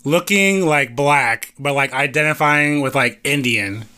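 A man's voice: medium pitch, unhurried, sharp tone. A man in casual chat who rambles, fills his speech with "like" and often restarts.

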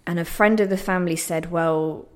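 A woman's voice: on the low side, steady tone, normal speed, clear, resonant tone. A young woman giving reflective interview answers in a hesitant, self-deprecating, thoughtful way. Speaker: playwright being interviewed.

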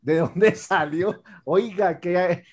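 A man's voice: high-pitched, very slow, crowd noise. A man hosting a casual radio interview, friendly and rambling, calling his guest "brother". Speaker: radio host.

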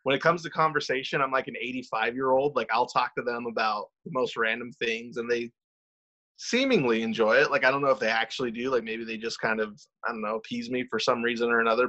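Guy cohosting a sports podcast, bright punchy voice, kinda muffled lo-fi audio, rambles on. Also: mid-range voice, fairly even intonation, quick pace. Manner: chatty, self-deprecating, rambling with fillers. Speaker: podcast co-host. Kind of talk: casual chat.

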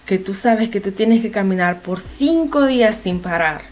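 A woman's voice: medium pitch, lively intonation, normal speed, darkish tone. A woman with a Honduran Spanish accent, an interview guest telling a personal story in casual conversation, explaining things directly to the listener.

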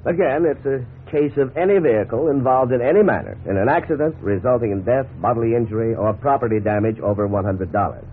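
An actor playing a calm, authoritative attorney in a 1950s radio drama, explaining things in a measured, formal way. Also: male voice, mid-range voice, natural voice. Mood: calm, firm. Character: calm, authoritative attorney advising his clients. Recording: noisy, tinny 1950s radio recording.

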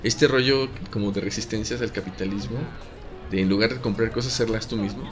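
A man's voice: fairly low pitch, steady tone, a little fast, over music. A man with a Mexican accent co-hosting a casual podcast chat, slangy and joking, rambling with restarts. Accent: Mexican Spanish. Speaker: podcast co-host.

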